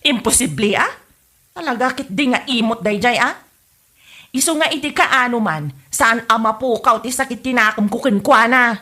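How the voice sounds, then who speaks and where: An actress as a resentful neighbourhood woman with a sharp, forceful edge. Her voice sits fairly high. She complains indignantly in a Filipino accent, in the style of a comedy radio drama. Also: moderate pace. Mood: spiteful. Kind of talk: Ilocano podcast comedy drama.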